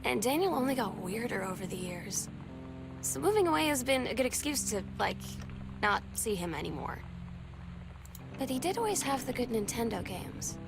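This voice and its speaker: Teen girl in a game diary, kinda high creaky voice, wry n chatty, music behind. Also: sing-song, moderate pace. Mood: wry, confiding. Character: teenage girl confiding about moving away, wry and candid. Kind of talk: voice-over diary monologue in a video game.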